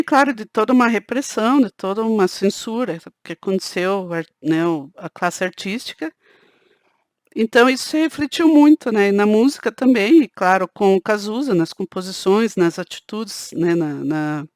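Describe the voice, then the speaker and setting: A woman's voice: mid-range voice, no echo, studio quality. A woman with a southern Brazilian countryside accent, hosting her channel and talking casually to camera in a chatty way, often adding "né" to her sentences. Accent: Brazilian Portuguese, southern countryside (caipira). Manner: conversational, peppers sentences with "né". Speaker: channel host.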